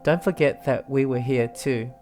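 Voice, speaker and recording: A woman's voice: rumbling low, normal speed, darkish tone, faint music behind. A woman streaming a game, giving casual commentary and musing aloud informally. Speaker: game streamer reacting to a story quest.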